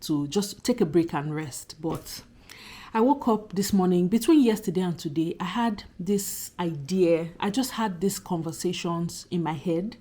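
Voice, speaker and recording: A woman in her thirties talks to her subscribers in a casual, heartfelt vlog. Her voice sits a little low and sounds slightly husky and croaky, as if she is just getting over a cold. She leans on emphatic repetition and keeps the tone earnest and chatty. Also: a little slow, warm tone. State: recovering from a cold. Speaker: YouTuber talking to subscribers. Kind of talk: casual reflective vlog monologue.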